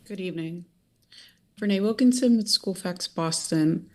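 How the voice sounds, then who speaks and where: A woman speaking for an advocacy group, giving formal, deliberate testimony at a school committee meeting. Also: lowish voice.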